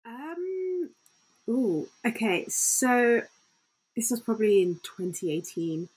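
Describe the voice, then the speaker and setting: A woman's voice: a little high, expressive, slow, a little mellow, breathy edge, rough recording. A young woman telling her story in a casual, informal way as a panel guest, on a headset at home. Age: young adult. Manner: informal, peppered with "like". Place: small home room, indoors. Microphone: headset on video call.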